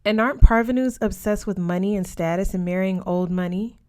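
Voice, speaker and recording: A woman's voice: medium pitch, a little fast, a little mellow, natural voice. A woman narrator plays a confident teenage boarding-school girl from a storybook. She talks frankly and knowingly, with breezy emphasis. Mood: cutting.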